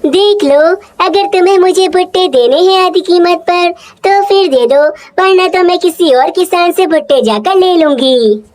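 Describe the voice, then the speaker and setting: A woman's voice: very high voice, a little slow, few pauses, a little mellow. A woman voicing a greedy, pushy female crow shopkeeper in a children's cartoon, with a bossy, scheming manner. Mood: demanding.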